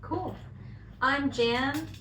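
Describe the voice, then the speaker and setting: Young American woman, bright voice, fairly high, chatty and upbeat, echoey room, distant mic, faint hiss. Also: extremely slow. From United States. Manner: chatty, upbeat, uses "like" often. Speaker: vlogger co-host. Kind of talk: casual chat while coloring.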